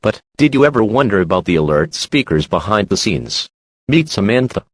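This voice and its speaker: A synthetic male voice playing a talk show host in a scripted tabloid parody, with a measured presenter delivery. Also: fairly low pitch, sing-song, breathy edge, dry recording. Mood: presenting. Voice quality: synthetic text-to-speech voice.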